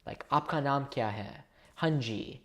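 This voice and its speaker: A man's voice: mid-range voice, a little slow, a bit bright. A man from India chatting casually on a livestream, rambling and talking to his viewers. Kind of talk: casual live-stream chat.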